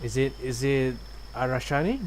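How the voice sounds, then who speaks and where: A man's voice: medium pitch, normal speed, darkish tone, slight background noise. A man doing casual live gameplay commentary, musing and a little hesitant as he goes. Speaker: game streamer. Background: game music.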